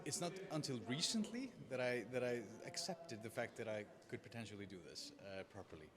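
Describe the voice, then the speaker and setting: A man's voice: mid-range voice, lively intonation, a little fast. A man from Iceland, an actor, speaking thoughtfully and hesitantly. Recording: crowd chatter behind.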